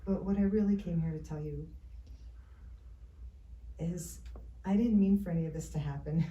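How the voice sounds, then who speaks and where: A woman acting a shy, lonely young woman in a monologue, confessing in a halting, earnest, nervous way, recorded from a distance in a roomy space. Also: on the low side, dark tone, muffled recording, natural voice. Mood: flustered confessing. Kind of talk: rehearsed film monologue in an acting class.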